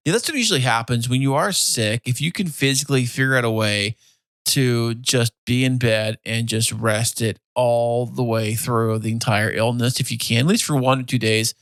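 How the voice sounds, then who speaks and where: A man's voice: medium pitch, moderate pace, fairly bright tone, no echo. A man co-hosting a podcast in casual chat, easygoing and playful, teasing his partner. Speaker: podcast co-host.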